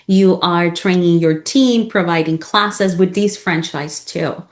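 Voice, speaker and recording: A woman's voice: fairly low pitch, takes it slowly. Lady hosting an interview, super enthusiastic, lots of "i love that". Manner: enthusiastic, sums up the guest's answers. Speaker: podcast host, interviewer. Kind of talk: interview questions.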